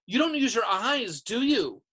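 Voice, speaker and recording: A narrator voicing a bossy, sharp-tempered schoolgirl who scolds and snaps in a storybook reading. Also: medium pitch, sing-song, brisk, voice pushed up. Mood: snappish. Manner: snappish, scolding.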